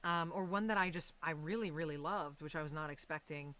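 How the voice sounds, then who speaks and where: A woman's voice: sits low, fairly quick, a bit bright. An American woman talking casually and animatedly as an interview guest. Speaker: guest, voice and stage actress.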